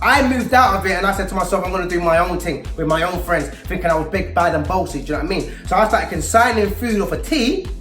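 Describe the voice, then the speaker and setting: A man's voice: sits high, fast talker, few pauses. London man telling street stories, roomy echo. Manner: animated, emphatic, acting out dialogue. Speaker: storyteller and youth mentor. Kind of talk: street-slang personal storytelling aimed at young people. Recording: roomy echo with faint music underneath.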